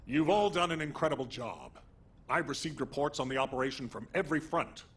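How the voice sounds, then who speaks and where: An actor playing a composed military commander who gives a measured, formal, earnest briefing in a game. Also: male voice, medium pitch, expressive, fairly bright tone, husky.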